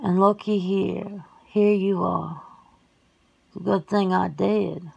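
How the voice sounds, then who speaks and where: A woman's voice: medium pitch, steady tone, slow pace, gravelly, warm tone, husky, lo-fi sound, heavily acted. A woman playing a folksy, plain-spoken werewolf sister in an ASMR roleplay, talking casually and colloquially. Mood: reflective.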